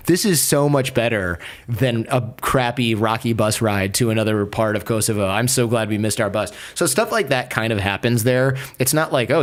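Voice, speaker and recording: A young adult man telling a travel story in a casual chat, animated and acting out the people he quotes. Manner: animated, acts out quoted voices with raised pitch.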